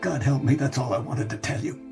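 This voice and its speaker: An actor playing a desperate man in a radio drama, earnest and imploring, with halting delivery. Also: mid-range voice, creaky, a little mellow, close to an everyday voice. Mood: grieving, tender. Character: desperate grown man pleading with parents and younger self. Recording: radio drama with music underneath.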